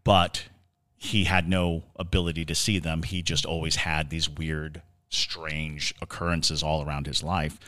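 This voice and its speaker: A man co-hosting a podcast, telling stories and explaining in a casual but halting, deliberate way with drawn-out words. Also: rumbling low, level tone, pushed and bright, no room sound. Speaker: podcast co-host. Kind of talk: casual discussion and storytelling.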